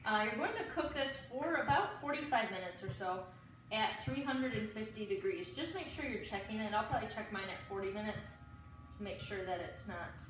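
A woman's voice: medium pitch, fairly quick, a bit bright. A woman in her thirties giving casual step-by-step cooking instructions as a home cook in her kitchen. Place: home kitchen, indoors, dish clatter behind. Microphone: camera a few steps away. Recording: roomy, distant camera mic with clear noise.

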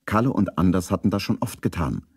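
A man narrating a children's story in a calm, scripted 1970s radio play style. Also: low voice, quick pace, breathy edge, no room sound, clean studio sound, full character voice.

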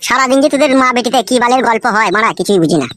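A woman's voice: a little high, expressive, rapid-fire, very noisy, close to an everyday voice. A woman voicing a crude, quarrelsome village husband in a comic cartoon, scolding and swearing. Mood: irritated.

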